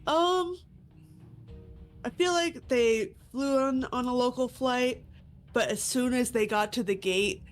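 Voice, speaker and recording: A woman's voice: fairly high pitch, takes it slowly, soft background music. Congested woman player with a stuffy nose. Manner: rambling with frequent filler words and laughter.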